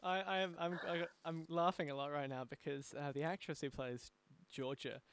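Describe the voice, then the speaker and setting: Aussie guy podcast host, slightly high voice, casual interviewing, super dry close sound. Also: steady tone, normal speed, a bit bright, smooth, no echo.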